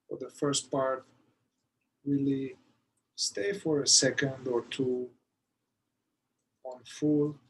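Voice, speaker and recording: A man leading a guided meditation, giving soothing, unhurried instructions with long pauses between phrases. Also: medium pitch, a little hiss or hum, rough recording.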